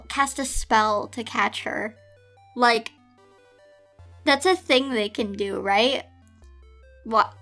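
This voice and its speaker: A woman with a high voice reads aloud at a slow, deliberate pace, breaking into casual, exasperated asides. Her delivery is expressive and theatrical, with music playing behind her. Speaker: host reading and reacting to fan fiction. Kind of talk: dramatic read-aloud with casual exasperated commentary.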